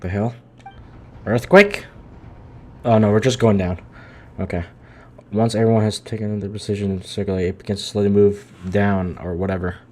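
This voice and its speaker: A man's voice: on the low side, steady tone, moderate pace, long pauses. A man live-streaming a game, chatting informally and reacting aloud in a joking way. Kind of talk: casual live-stream commentary. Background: game music.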